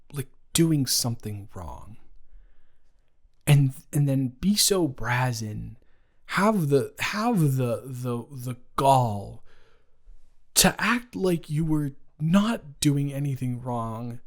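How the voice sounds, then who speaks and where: A man's voice: mid-range voice, exaggerated voice. A young man playing a boyfriend's caring best friend in an improvised romantic audio roleplay, talking in a casual, stammering, awkwardly indignant way. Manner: halting, stammering, informal, repeats himself.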